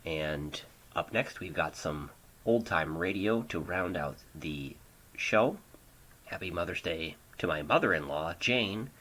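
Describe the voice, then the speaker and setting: A man with a very low, bright and forceful voice hosts a radio program. He speaks in a casual but prepared presenter's style, introducing segments from a home studio. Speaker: radio show host. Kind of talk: casual scripted radio hosting.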